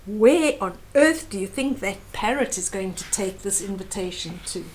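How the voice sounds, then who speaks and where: A woman reading a children's story aloud with animated, dramatic emphasis and explanatory asides. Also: medium pitch, moderate pace.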